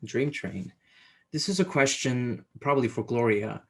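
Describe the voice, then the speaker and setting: A man's voice: medium pitch, animated, unhurried, a bit bright, husky. Man moderating a book talk. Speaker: host, moderator.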